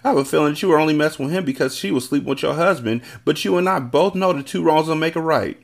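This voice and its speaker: A man's voice: fairly high pitch, fast, creaky, pitched above the performer's own voice. A man voicing a blunt, argumentative woman who talks frankly like a sister, in a story reading. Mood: reasoning.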